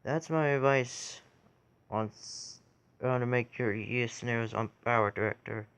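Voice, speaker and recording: A man giving a casual how-to tutorial in a halting way, pausing between short phrases. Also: medium pitch, fairly bright tone, clear, resonant tone. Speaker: tutorial video creator.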